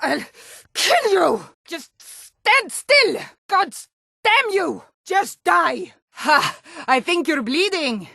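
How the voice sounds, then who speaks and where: A woman's voice: very high-pitched, very animated, extremely slow, creaky, sharp tone, dry recording, clean studio sound, heavily acted. A woman voicing a tough, blunt female Nord warrior guard in a fantasy video game, stern, taunting and combative. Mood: aggressive, taunting.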